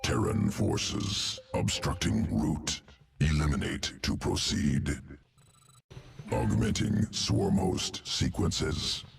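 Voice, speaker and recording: A man voicing a cold, calculating insectoid alien in a video game, speaking in clipped, telegraphic phrases with a gravelly, guttural voice. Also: extremely low pitch, big pitch swings, slow pace, background noise, full character voice. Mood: cold, clinical. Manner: clipped telegraphic phrases, dropped words, detached. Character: alien insectoid evolution master of a swarm, cold, clinical, calculating. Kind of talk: video game character dialogue.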